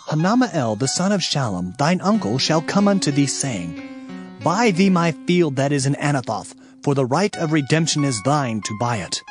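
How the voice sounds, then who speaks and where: Higher-pitched earnest prophet. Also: male voice. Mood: earnest, recounting. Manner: measured, emphatic, solemn. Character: earnest male Hebrew prophet proclaiming oracles and recounting events. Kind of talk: dramatized scripture reading. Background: music.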